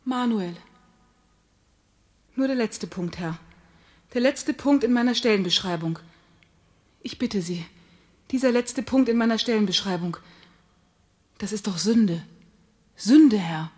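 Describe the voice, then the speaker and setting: A woman's voice: mid-range voice, lively intonation, a little slow, pauses often, darkish tone, slightly rough. A woman playing a naive, lovesick young woman who speaks humbly and anxiously in a radio play. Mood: pleading. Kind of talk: radio drama acting.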